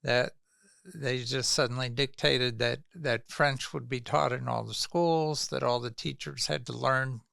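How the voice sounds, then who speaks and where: A male podcast host who speaks slowly, with a gravelly but bright and crisp voice. He asks curious, relaxed questions in a casual interview, recorded dry and close. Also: mid-range voice, dry recording.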